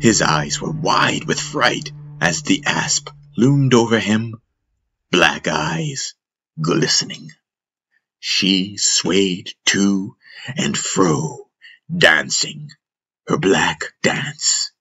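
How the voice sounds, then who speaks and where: Low voiced guy narrating a fantasy audiobook, really slow and deliberate, solemn. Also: lively intonation, pushed and bright, slightly rough. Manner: measured, solemn, deliberate storytelling. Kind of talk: dramatic scripted fantasy narration.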